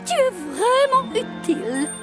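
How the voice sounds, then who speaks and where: High, wheedling lazy diesel railcar. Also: female voice, slow pace, over music, heavily acted. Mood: flattering. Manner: plaintive, theatrical, flattering. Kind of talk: children's cartoon dubbing.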